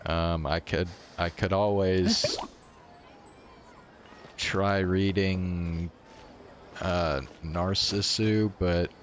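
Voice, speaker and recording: A man's voice: sits low, steady tone, very slow, pauses often, a little hiss or hum. A man chatting casually to viewers as a game streamer, musing hesitantly and trailing off. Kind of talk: casual livestream chat to viewers. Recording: game music behind the voice. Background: video game music.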